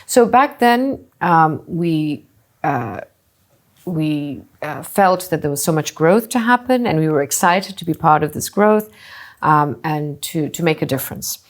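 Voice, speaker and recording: Low-voiced woman from Singapore, musing. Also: lively intonation, a little slow. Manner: thoughtful, with frequent "you know" and restarts. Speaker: hotel group co-founder and CEO. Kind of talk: conversational interview answers.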